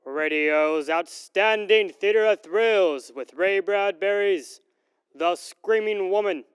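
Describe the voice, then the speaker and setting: A formal American radio announcer, acted by a man, introduces suspense with dramatic deliberation. The voice is high for a man, smooth and very slow, with a dry, close sound in 1940s style. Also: no echo. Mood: ominous, grand.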